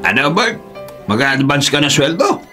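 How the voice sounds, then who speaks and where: An actor playing a gruff, impatient, dismissive bus company boss who scolds brusquely in a radio drama. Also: male voice, mid-range voice, very animated, moderate pace, loud music behind the voice, lightly acted. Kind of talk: Philippine radio drama acting.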